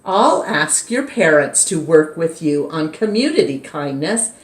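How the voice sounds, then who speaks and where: A woman's voice: deep voice, sing-song, measured pace. A woman reading as a cheerful rhyming teacher. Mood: encouraging. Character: cheerful rhyming female schoolteacher. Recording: roomy echo.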